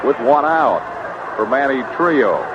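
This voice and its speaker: Man, live baseball play-by-play, clipped phrases, muffled vintage radio sound, loud background noise. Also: mid-range voice, big pitch swings, measured pace. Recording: noisy, dull vintage radio broadcast. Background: loud outdoor stadium ambience.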